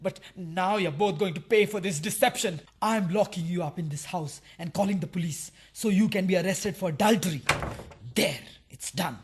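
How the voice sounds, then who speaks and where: A man's voice: high voice, moderate pace, lightly acted. Jealous male lover played by an actor, radio farce: sarcastic, indignant, easily flustered, big on drama. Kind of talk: farcical radio play acting.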